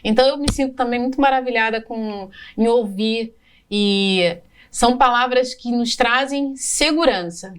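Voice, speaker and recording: A woman hosting a health podcast, speaking slowly and reflectively, restarting her sentences. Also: on the high side, fairly bright tone, far from the mic, slight background noise. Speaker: podcast host; health professional. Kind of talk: podcast interview.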